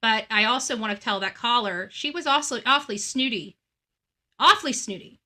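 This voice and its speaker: A woman's voice: a little high, fairly quick, sharp tone. A woman hosting a live call-in show in a casual, blunt, opinionated way.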